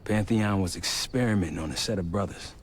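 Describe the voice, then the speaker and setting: An actor playing a businesslike military squadmate in a game, talking in a terse, matter-of-fact way. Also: male voice, low-pitched, a little fast, lightly acted. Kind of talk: scripted game dialogue. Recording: game ambience noise behind voice.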